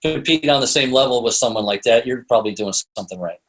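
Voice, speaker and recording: A man's voice: mid-range voice, expressive, quick pace. A man with a North American accent speaking as an interview guest, conversational and explaining things through examples. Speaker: interview guest, author and storytelling consultant. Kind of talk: casual interview talk.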